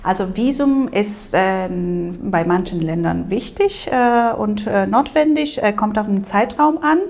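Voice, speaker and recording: A woman from Spain speaks German, answering as an exchange program advisor. Her voice has a gravelly creak, and she hesitates often, filling pauses with filler sounds and making small slips in grammar. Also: mid-range voice, expressive, a little mellow. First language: Spanish. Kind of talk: interview answers. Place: language school room.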